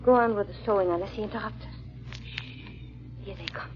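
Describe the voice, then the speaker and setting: A woman's voice: medium pitch, fairly quick, close to an everyday voice. An actress playing a loyal, anxious lady's maid in an old-time radio drama, in a melodramatic manner. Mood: hushed, steadying. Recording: muffled, thin old radio recording.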